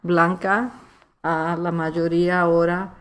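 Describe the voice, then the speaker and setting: Slow, halting Nuyorican woman. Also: fairly low pitch, little pitch movement, smooth. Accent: New York Puerto Rican. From New York, United States. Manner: halting Spanish, searching for words, slipping into English. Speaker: author being interviewed. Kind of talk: reflective personal interview in casual Spanglish.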